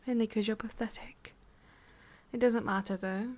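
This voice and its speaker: A woman acts out a sadistic demon-world boss in an intimate ASMR roleplay. Her English-accented voice is breathy and slips often into whispers. She taunts and condescends, wrapping menace in mock tenderness. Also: on the high side, fairly even intonation, a little mellow, lightly acted. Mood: sadistic, threatening. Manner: slow, taunting, condescending, with pauses and sighs.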